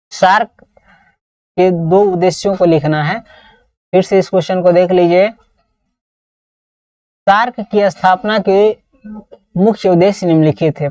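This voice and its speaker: A woman's voice: lowish voice, darkish tone, distant mic. A woman with a Bihari accent teaching an exam-prep lesson, reading out model answers in a didactic way and repeating phrases. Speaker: teacher of political science. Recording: roomy echo, dull lo-fi sound.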